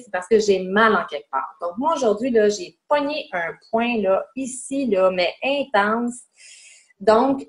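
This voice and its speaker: A woman's voice: mid-range voice, a little mellow. Québécois woman teaching a casual webinar. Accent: Québécois French. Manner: chatty, demonstrating on herself as she talks. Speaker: webinar presenter. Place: home room indoors. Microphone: webcam mic on a video call.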